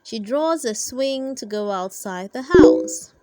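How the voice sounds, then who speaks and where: A woman's voice: fairly high pitch, animated, slow pace, heavy background noise. A woman reading a storybook aloud expressively for toddlers, speaking directly to young children as host. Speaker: storybook reader and channel host.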